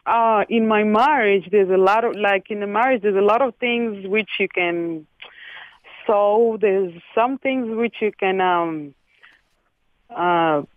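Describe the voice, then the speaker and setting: A woman from the Congo with a Congolese accent, calling in to a radio show and speaking haltingly as she searches for words. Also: medium pitch, no echo. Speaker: caller. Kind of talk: call-in radio conversation. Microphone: telephone. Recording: tinny phone line.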